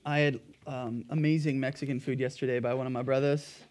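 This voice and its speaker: A pastor preaching a sermon in an earnest, emphatic way, his throat a little dry and raspy. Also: mid-range voice, flat delivery, brisk, a little mellow.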